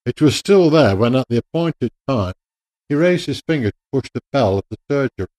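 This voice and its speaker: A man narrating a radio drama in a measured, literary storytelling manner. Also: medium pitch, animated, very noisy.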